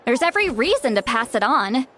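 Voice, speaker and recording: Haughty game shaman, grumpy elder attitude, high lively voice. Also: brisk, a little hiss or hum. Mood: scheming. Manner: haughty, teasing, self-assured. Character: proud grumpy female shaman, sharp-tongued elder. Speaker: game character. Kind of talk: video game voice acting.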